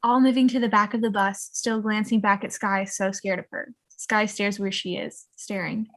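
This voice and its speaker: Woman, medium pitch, scripted narration, stage directions, amateur table read. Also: fairly even intonation, normal speed. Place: online video call.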